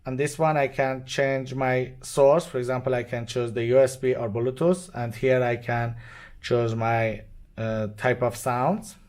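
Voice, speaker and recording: A man who is not a native English speaker gives an unscripted step-by-step product tutorial in short, simple, halting phrases. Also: medium pitch, little pitch movement, a little mellow. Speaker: product demonstrator. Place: indoors at a demo bench with devices.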